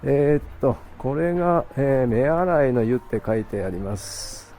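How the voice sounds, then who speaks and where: A man's voice: mid-range voice, lively intonation, mellow, slight background noise. A man from Japan with a Japanese accent, a native Japanese speaker, chatting and narrating casually as a travel vlogger. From Toyama, Japan. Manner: halting, searching for words in English. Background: music.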